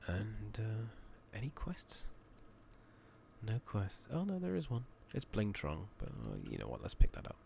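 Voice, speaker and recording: A soft-spoken man giving hushed ASMR commentary on a game playthrough, murmuring and musing aloud in an unhurried way. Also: fairly low pitch, wildly swooping pitch, vocal fry, a little hiss or hum. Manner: hushed, murmured, sometimes slipping into whisper. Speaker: gaming channel host.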